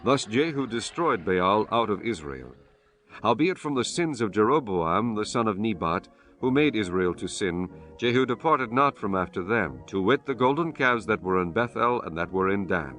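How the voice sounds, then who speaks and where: A man with a fairly low voice narrates scripture for an audiobook. His delivery is solemn, formal and measured, with faint music underneath. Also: animated, fairly bright tone.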